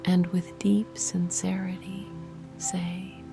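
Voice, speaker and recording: A woman whispering soft, soothing guided meditation instructions. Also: on the low side, level tone, slow, creaky. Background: music.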